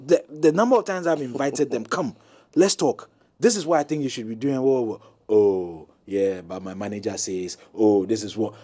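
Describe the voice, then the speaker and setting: A man talking as a guest brand consultant on a panel talk show, pausing with many ums, then growing emphatic. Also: mid-range voice. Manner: hesitant, many ums, grows animated and emphatic.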